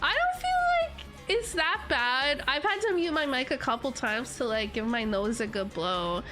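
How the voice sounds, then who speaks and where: A woman's voice: sits high, big pitch swings, normal speed, pushed and bright, soft background music. A young woman streamer chatting casually with her viewers, stuffy and congested from allergies, giggly and rambling with lots of "like"s. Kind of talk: casual livestream chat reading comments.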